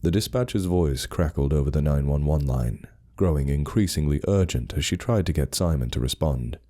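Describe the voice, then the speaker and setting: A man's voice: very deep voice, lively intonation, moderate pace, mellow. A man narrating a scripted horror story in a grave, suspenseful manner.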